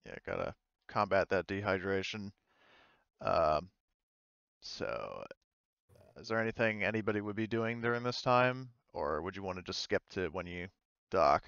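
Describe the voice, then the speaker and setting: A man acting as game master, narrating a tabletop roleplaying game in a halting, conversational way. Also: low-pitched, expressive, creaky, fairly bright tone, clean tone, no echo, highs cut off.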